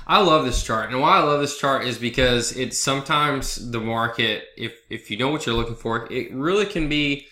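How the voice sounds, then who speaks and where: A man's voice: medium pitch, sharp tone, reverberant. A man with a head cold, sniffling a little, talking through stock charts in a loose, conversational way as the host of his own video blog. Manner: conversational, loose, with fillers and asides like "right?". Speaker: trader hosting a market analysis video blog. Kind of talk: casual chart walkthrough over a screen recording.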